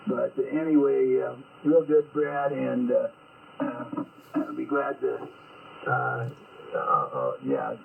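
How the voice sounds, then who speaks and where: A man on a ham radio call, talking slowly and haltingly, losing his thread at times. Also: medium pitch, fairly even intonation, gravelly, recorded from a distance, a little hiss or hum. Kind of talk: casual radio chat. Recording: tinny radio line.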